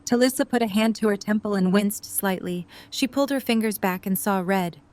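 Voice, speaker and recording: A woman's voice: mid-range voice, fairly quick, darkish tone. Woman narrating a dramatic story.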